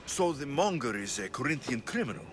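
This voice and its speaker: A sardonic, watchful Greek mercenary from a video game, played by a man. His delivery is dry and teasing, with widely moving intonation. Soft music sits behind the dialogue. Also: mid-range voice, big pitch swings, fairly quick, lightly acted. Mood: guarded, wry. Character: wry, guarded male Greek mercenary, sardonic but protective. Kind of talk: video game cutscene acting.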